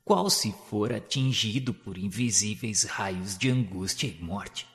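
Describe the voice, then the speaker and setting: A man giving a solemn, measured narration of a spiritual novel in a radio drama. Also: on the low side, a bit bright, in a large hall. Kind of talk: scripted literary narration.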